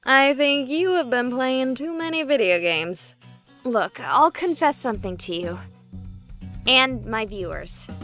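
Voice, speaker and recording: A woman's voice: high-pitched, sharp tone, exaggerated voice. An actress playing a sarcastic, chatty young woman streamer who talks to her viewers. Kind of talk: voiced game dialogue.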